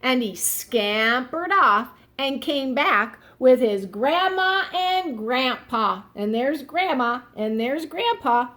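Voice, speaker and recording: A woman reading a picture book aloud for young children in an expressive teacherly way, pausing to explain words simply. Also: sits high.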